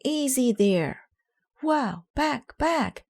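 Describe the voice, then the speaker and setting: A woman reading a children's storybook, voicing an eager, bossy boy showman who calls out in a loud, stretched-out hawker's delivery. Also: sits high, lively intonation, very slow, vocal fry, pitched above the performer's own voice, full character voice. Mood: urgent. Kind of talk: children's storybook read aloud.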